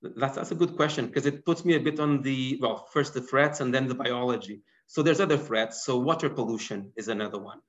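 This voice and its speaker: A man's voice: mid-range voice, a little fast, few pauses. A man in his thirties, originally from Portugal, answering audience questions as a guest biologist in an online lecture, talking animatedly. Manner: animated, gesturing while explaining. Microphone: laptop webcam mic on video call. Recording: dull lo-fi video call audio.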